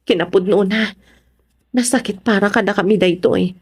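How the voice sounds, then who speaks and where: A woman with an Ilocano accent playing a devout, caring middle-aged mother in a radio drama, gently admonishing. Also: mid-range voice, normal speed, husky, close to an everyday voice. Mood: worried, tender. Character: devout, caring provincial mother, worried for her children.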